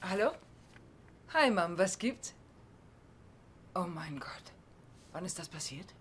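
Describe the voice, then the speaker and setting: A woman's voice: fairly low pitch, animated, slow pace, fairly bright tone, a little hiss or hum. A woman playing a polite, worried mother in a German-dubbed sitcom. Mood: shocked.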